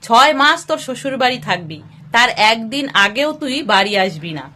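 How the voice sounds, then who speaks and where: A woman acts out a patient mother of a grown son in a storybook tale. She coaxes affectionately in a high, bright voice. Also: animated, moderate pace. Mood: quietly hurt.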